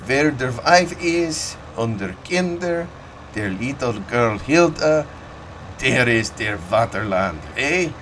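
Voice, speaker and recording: A man's voice: medium pitch, expressive, slow pace, a bit bright, a little hiss or hum, voice pushed up. A man voicing an older German immigrant farmer, with a German accent, proud and talkative, in broken English. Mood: reminiscing.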